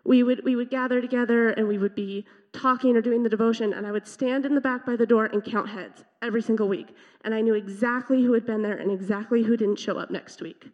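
A woman with a fairly high, loud voice gives an earnest, emotional testimony in a church. The sound is somewhat dull and lo-fi, and her words ring out in a long hall reverb. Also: steady tone, quick pace, few pauses. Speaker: youth leader sharing testimony. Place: church sanctuary.

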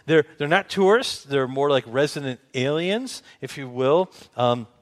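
A man with a Midwestern American accent preaching an informal sermon as a pastor, haltingly rephrasing himself and posing questions to listeners. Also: medium pitch, animated. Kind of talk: conversational expository sermon.

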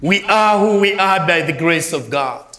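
A man from Malawi with a Malawian accent preaching a sermon as a guest preacher, swinging between soft low phrases and raised ringing lines. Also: expressive, a little slow, roomy sound. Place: church.

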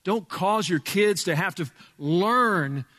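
A male pastor with a loud voice preaching a sermon in a church, emphatic, dropping from booming to hushed. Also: lively intonation, slow pace, long reverb tail. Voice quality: loud speaking voice. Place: church sanctuary with sound board.

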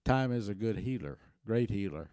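Older man, german first language, low warm voice, folksy rambling storyteller, dry close sound. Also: lively intonation, normal speed, no room sound. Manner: folksy, rambling with many restarts and chuckles. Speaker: interview guest. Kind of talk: relaxed storytelling.

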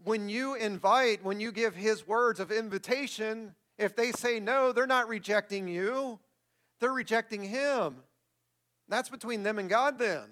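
A man's voice: sits high, measured pace, fairly bright tone. A man preaching as a pastor in a conversational way, repeating phrases for emphasis as he addresses his congregation. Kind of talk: church sermon with closing prayer and announcements. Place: speaking to a congregation in a room.

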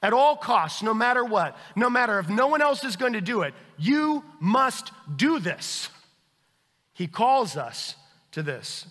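A man preaching a sermon as pastor, with earnest emphasis that swells and then drops to a hushed tone. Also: extremely high pitch, sing-song, a little slow, long reverb tail. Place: church sanctuary.

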